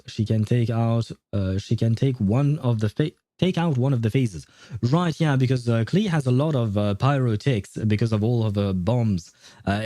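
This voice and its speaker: A male game streamer with a dark, relaxed voice of average pitch. He talks casually and wanders as he thinks aloud, giving advice to viewers. The sound is dry, with hardly any room on it. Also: no room sound. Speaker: livestream host, gamer.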